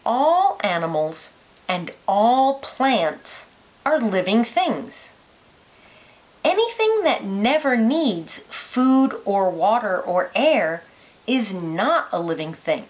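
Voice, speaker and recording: Slow, lilting woman reading to children. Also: medium pitch, very animated, rough recording. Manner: careful, deliberate phrasing for young listeners. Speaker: teacher reading a children's science picture book aloud. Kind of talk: read-aloud of a children's educational book.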